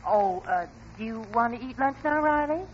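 A woman acts out a long-suffering sitcom wife and mother from old radio. Her delivery is very animated, coaxing one line and mildly scolding the next. The sound is dull with faint noise. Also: fairly high pitch, moderate pace, lightly acted. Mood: weary. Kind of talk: 1940s radio sitcom acting.

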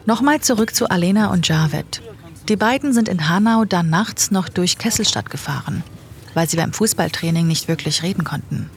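A woman podcast host narrating in a calm, matter-of-fact way and chatting with a colleague. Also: fairly low pitch, moderate pace, a crowd in the background.

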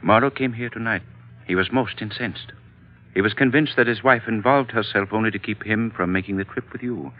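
An actor playing a calm, fatherly older employer with a measured, formal manner in a classic radio drama. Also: on the low side, faint music behind, natural voice. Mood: grave, measured. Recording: thin, narrow-band vintage radio recording.

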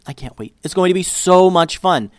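A man voicing an excitable, chatty young girl in a children's storybook, gushing breathlessly. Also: medium pitch, normal speed, no room sound, studio quality, natural voice. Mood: excited, gushing.

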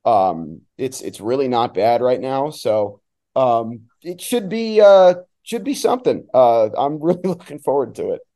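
A man who works as a sports announcer, giving casual, somewhat halting analysis as a guest on a talk show. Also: medium pitch, lively intonation, warm tone.

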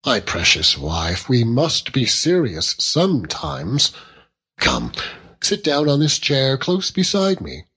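A man voicing a fond, earnest young Victorian husband who gently reproves and reasons, in a storybook reading. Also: medium pitch, huge pitch swings, takes it slowly, breathy edge, pitched above the performer's own voice, full character voice. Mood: earnest, reproving.

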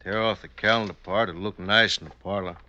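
A menacing Old West outlaw for a radio western. His voice is hard-edged and on the low side, with a folksy, commanding way of clipping his words and a playful streak. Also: steady tone, fairly quick, bright, forceful tone, dull audio. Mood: affectionate, folksy. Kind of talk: classic radio western acting.

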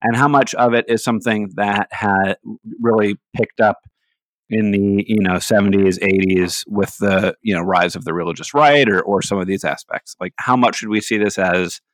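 A man's voice: lowish voice, moderate pace, no room sound, low-quality recording. A man from the American West, co-hosting a podcast, who rambles casually and searches for his words. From Hawaii and Seattle, USA. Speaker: podcast co-host.

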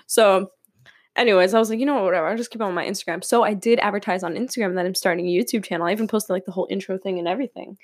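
A young woman from California talks through her podcast in a loose, rambling way, full of fillers and quick laughs. Her voice sits at an ordinary middle pitch. The recording is dry and close, with no room echo. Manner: chatty, rambling, laughs often, many fillers. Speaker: podcast host. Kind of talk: casual solo podcast chat. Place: room at home with windows open.

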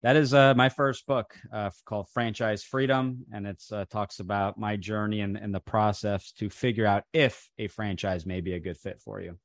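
A man's voice: lowish voice, fairly even intonation, moderate pace, hardly any pauses, no echo, clean studio sound. A man whose first language was Italian, a franchise coach telling his background in a casual interview, with many fillers and restarts. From New Jersey, USA. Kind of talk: casual interview over video call.

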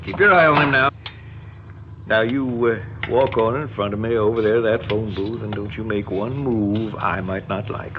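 A man's voice: lowish voice, normal speed, lightly acted. Man acting a drawling rural Southern kidnapper, lo-fi. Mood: wary, commanding. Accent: American rural Southern. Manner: drawling, folksy, colloquial talk. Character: folksy, menacing rural kidnapper, a doting father. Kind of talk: radio drama acting. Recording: dull lo-fi radio recording, hissy background noise.

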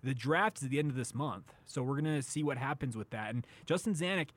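A man's voice: mid-range voice, quick pace. A man with an American accent hosting a casual sports radio talk show, chatting and steering the conversation.

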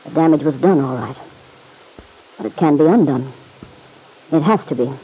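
Insistent, slangy man in vintage radio drama; soft dark tone, rough grain, pressing and blunt. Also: fairly high pitch, normal speed, low-quality recording. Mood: urging. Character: stern, insistent man pressing a woman for the truth. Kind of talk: old-time radio serial acting.

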